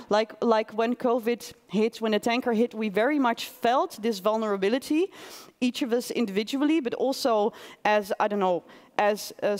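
Stage moderator, woman in her 30s, fairly high voice, long theater reverb, tentative phrasing. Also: darkish tone. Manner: searching, with fillers and restarts. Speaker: festival moderator. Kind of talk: stage interview questions. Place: theater stage before audience. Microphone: headset mic.

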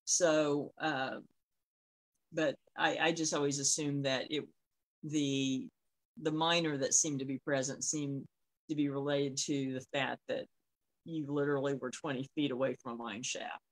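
A middle-aged woman telling stories casually on a video call, often pausing mid-thought. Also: very deep voice, steady tone, rough recording. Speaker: co-host. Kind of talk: casual conversational storytelling. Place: small room at home, on a video call. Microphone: device mic on a video call.

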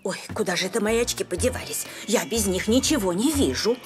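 A woman playing a caring, slightly fussy elderly grandmother in an animated children's cartoon. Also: medium pitch, a little fast, close to an everyday voice. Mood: fussy, puzzled. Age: older. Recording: loud music behind the voice. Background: music.

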